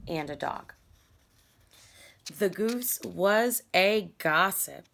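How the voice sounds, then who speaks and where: A woman reading a children's storybook aloud in a deliberate, emphatic, teacherly storytelling style. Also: lowish voice, creaky.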